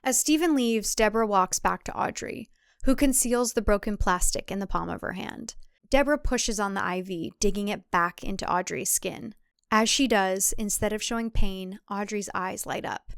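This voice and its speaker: A woman's voice: mid-range voice, moderate pace, creaky, dry recording, studio quality. A woman reading scripted present-tense thriller narration for an audio drama.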